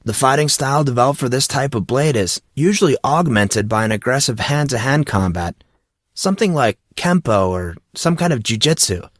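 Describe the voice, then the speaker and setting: A man's voice: medium pitch, expressive, moderate pace, dry recording, clean studio sound, voice pushed up, close to an everyday voice. Man voicing an eager, slangy teenage martial artist. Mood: eagerly explaining. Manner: slangy, eager, casual bro-talk. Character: enthusiastic laid-back teenage boy martial arts student. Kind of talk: audiobook character dialogue.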